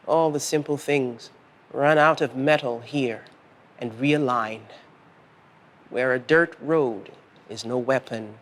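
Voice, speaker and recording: A man reading poetry in a deliberate, solemn manner, pausing between short phrases. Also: medium pitch, a bit bright. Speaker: poet reading own work. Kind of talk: measured literary poetry reading.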